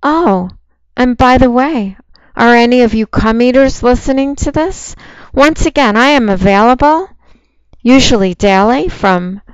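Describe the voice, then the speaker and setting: A woman speaks in a soft, commanding voice at a slow, deliberate pace, every word very clean and crisp. She sounds fully in charge. The recording is dry and close but dull, with a muffled lo-fi sound. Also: mid-range voice, no echo. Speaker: phone sex operator. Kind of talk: promotional monologue.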